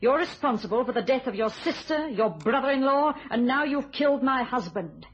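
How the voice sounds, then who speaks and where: Steely British widow, lo-fi sound. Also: female voice, sits high, expressive, moderate pace, slight background noise. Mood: bitter, vengeful. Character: bitter, steely, vengeful widow. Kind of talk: radio thriller acting. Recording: dull lo-fi radio sound.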